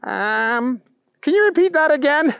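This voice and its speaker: A dim but guileless man from a children's story, put on by a male storyteller. His voice sits very high and bounces around, sounding puzzled and slow to catch on. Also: expressive, creaky, a bit bright, no echo, dull audio, exaggerated voice. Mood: clueless. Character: dim, naive, bumbling man, clueless motorist.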